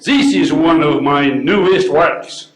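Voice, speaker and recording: A man's voice: on the high side, slow. An older man acting a pompous would-be continental painter in amateur readers theater, with theatrical French flourishes. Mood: self-admiring. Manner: theatrical, sprinkled with French phrases. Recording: echoey room recording.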